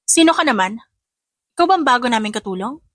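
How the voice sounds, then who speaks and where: A woman voicing a spoiled, haughty young heiress with a sharp, sarcastic tongue in an audio love drama. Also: a little high, expressive, quick pace, no echo, clean studio sound. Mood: haughty, annoyed.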